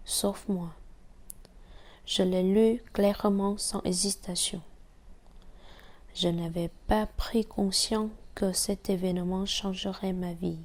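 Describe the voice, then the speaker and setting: Slow, even Vietnamese-accented woman reading. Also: medium pitch. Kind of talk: reading a personal essay aloud.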